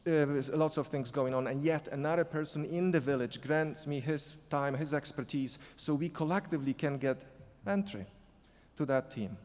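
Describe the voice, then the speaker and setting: A man speaking English with a non-native accent, telling a story on a conference panel, halting and restarting often. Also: mid-range voice, a little mellow. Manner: halting, many restarts and fillers. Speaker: sport psychology consultant on a panel. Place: conference hall. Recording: echoey hall reverb.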